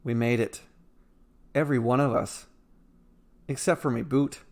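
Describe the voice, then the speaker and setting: Guy doing a folksy soldier in a fantasy story, plain talker, good humored, mid pitch. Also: fairly even intonation, measured pace, creaky. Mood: relieved, wry. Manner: folksy dialect, saying "me" for "my".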